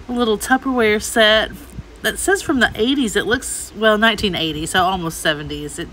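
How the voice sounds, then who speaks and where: A woman's voice: medium pitch, pushed and bright, slight background noise, rough recording. A middle-aged woman giving casual, chatty shopping vlog commentary, gushing over the items she looks at. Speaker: host, antiques reseller. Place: inside an antique mall.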